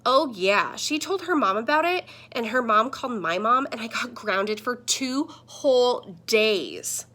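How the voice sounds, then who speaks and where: A woman's voice: on the high side, expressive, a little slow, bright, forceful tone, heavily acted. A woman voicing a surprised, defensive child who questions everything, in a children's picture book.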